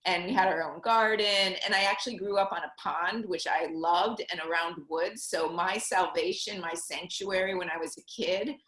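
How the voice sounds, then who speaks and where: A middle-aged woman from Connecticut, a money coach and interview guest, talking earnestly about her life in quick bursts. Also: on the low side, a bit bright, reverberant, poor audio. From Connecticut, USA. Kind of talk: conversational interview.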